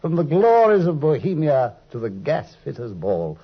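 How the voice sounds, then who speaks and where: A man's voice: a little high, huge pitch swings, exaggerated voice. An actor playing an earnest, loyal male doctor in a vintage radio drama, speaking eagerly and also narrating. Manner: eager, earnest, quick to exclaim. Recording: dull, husky old radio recording.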